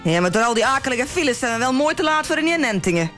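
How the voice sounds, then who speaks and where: A woman's voice: high voice, sing-song, fast, a bit bright, faint music behind. A woman playing a practical, chiding village wife with a Brabant Dutch accent in a comic radio play. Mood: wondering. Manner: chiding, down-to-earth.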